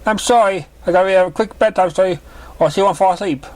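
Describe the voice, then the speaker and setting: A motherly winged pony from a cartoon story, acted out by a man, raised well above his natural pitch. The mare is caring and reassuring, gentle yet firm with her words. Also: fast, close to an everyday voice. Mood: apologetic.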